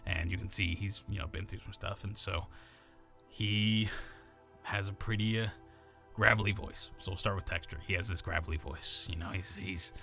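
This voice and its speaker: A man from the American South with a neutral accent and some natural gravel in his voice, casually explaining how he builds a character voice. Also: deep voice, steady tone, moderate pace, sharp tone, faint music behind. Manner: casual, rambling, many fillers and restarts. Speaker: voice actor.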